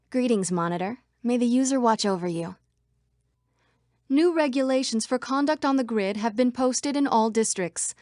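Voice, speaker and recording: Here is a young woman playing an earnest citizen program from a digital world in a video game. The voice is fairly high and very dry. Each line is crisp and dramatic. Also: dry recording, close to an everyday voice. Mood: calm, official.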